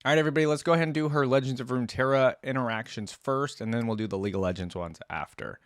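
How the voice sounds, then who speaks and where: A man hosting a reaction video, giving casual, expressive and enthusiastic commentary. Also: medium pitch, racing, no echo, clean studio sound. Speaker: host of a reaction channel.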